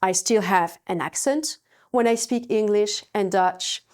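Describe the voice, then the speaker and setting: Slow-speaking French-accented woman coach. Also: mid-range voice, darkish tone. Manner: earnest, personal storytelling with light laughs. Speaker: language coach. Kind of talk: webinar talk.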